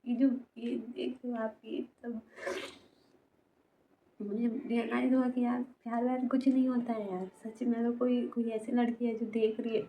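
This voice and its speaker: A woman in her twenties talking personally to camera as a vlogger, halting and repetitive, often trailing off mid-sentence, in a tinny, phone-like recording. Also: a little high, little pitch movement, dark tone. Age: 20s. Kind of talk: personal confessional talk to camera. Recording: tinny phone-like sound, noisy background.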